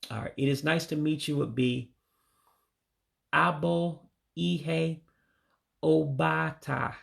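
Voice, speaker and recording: A man, a native English speaker, slowly and carefully teaching greeting phrases he is still learning, sounding them out and correcting himself. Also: mid-range voice, gravelly. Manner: slow, careful, tentative pronunciation with self-corrections. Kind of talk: self-taught language lesson.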